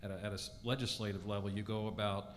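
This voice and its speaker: Male audience questioner, lowish voice, halting phrasing, hall reverb. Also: little pitch movement, a little hiss or hum. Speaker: state legislator asking from the audience. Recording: echoey hall.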